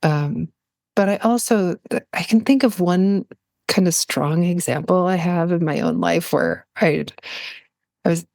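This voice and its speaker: Woman podcast guest, lowish pitch, dry sound. Earnest, reflective storytelling, feeling out her words as she goes. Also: creaky, darkish tone, no echo.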